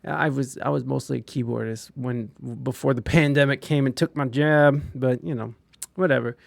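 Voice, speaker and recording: A man's voice: medium pitch, fairly even intonation, moderate pace, gravelly, no echo. A young man hosting a casual podcast, talking loosely, swearing freely and getting emphatic. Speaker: podcast host.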